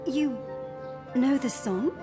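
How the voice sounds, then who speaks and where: An actress playing a guarded initiate of a secret order in a fantasy video game. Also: female voice, high-pitched, slow. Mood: taken aback. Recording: loud music behind the voice.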